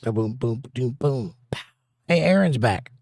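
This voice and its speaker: Male stream host, a bit hoarse, jokey chat reader, dry close sound. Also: mid-range voice, animated, unhurried, a little mellow, no room sound. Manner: jokey, reads viewer comments aloud, breaks into silly bits. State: slightly hoarse and scratchy. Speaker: livestream host reading chat. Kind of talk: casual livestream chat.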